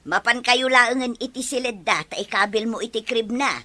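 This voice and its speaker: A woman playing an older Ilocano grandmother in a family audio drama, gently advising and nagging her household. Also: on the high side, normal speed. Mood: homely, busy. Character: older Ilocano grandmother, consoling mother, chiding wife.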